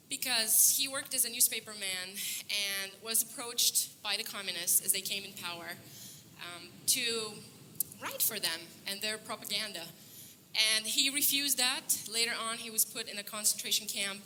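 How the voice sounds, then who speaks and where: Bright, earnest woman from Bulgaria. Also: medium pitch, slight background noise, low-quality recording. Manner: earnest, upbeat, laughs often, many fillers and restarts. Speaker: conference speaker. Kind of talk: stage talk. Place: conference stage before an audience.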